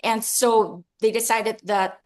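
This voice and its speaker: A woman's voice: on the high side, steady tone, takes it slowly, a little mellow. A woman from the Philippines, an author answering interview questions in a chatty, laughing, self-deprecating way over a video call. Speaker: author guest.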